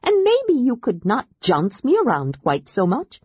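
An excitable young male kender chatters in a high, eager voice, as a woman reading the story puts him on. He sounds a little husky, and the recording is muffled and dry. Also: unhurried, dry recording, exaggerated voice.

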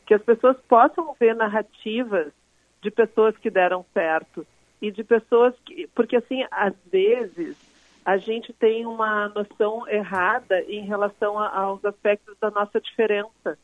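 A woman's voice: mid-range voice, measured pace, no echo. Woman guest expert phoning in, tinny phone sound, dry, fluent explainer with stuttered starts. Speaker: guest expert on emotional intelligence. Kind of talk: explanatory radio interview. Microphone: phone call into the studio.

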